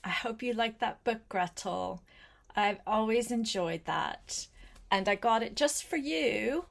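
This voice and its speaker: An older woman reading a picture book aloud to a small child, gentle and coaxing, with chatty asides spoken straight to the child. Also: fairly high pitch, expressive, vocal fry. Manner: gentle, unhurried, coaxing, addresses the child directly.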